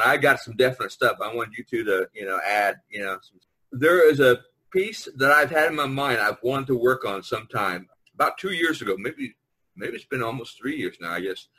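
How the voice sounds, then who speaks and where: A middle-aged man chats casually as host of an online call. His voice is slightly husky at an ordinary pitch, and he rambles, halting and circling back over his words. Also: bright, forceful tone, poor audio. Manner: rambling, halting, repeats himself. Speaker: podcast host. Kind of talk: casual group chat. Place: online video conference call.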